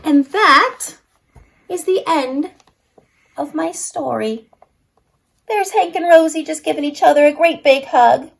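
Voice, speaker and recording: A woman's voice: high voice, takes it slowly, creaky, long pauses. A woman reading a picture book aloud expressively for young children, as a story-time reader.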